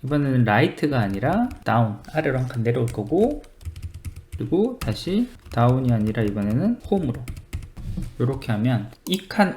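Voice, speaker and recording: A man's voice: fairly high pitch, darkish tone, a little hiss or hum. A man presenting a screen-recorded coding tutorial, informally thinking aloud as he explains each step.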